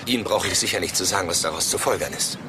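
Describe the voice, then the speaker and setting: An actor playing an eager, overly correct police sergeant, formal and deferential, in a German crime audio drama. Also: male voice, low voice, rapid-fire, background noise. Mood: officious. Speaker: detective sergeant.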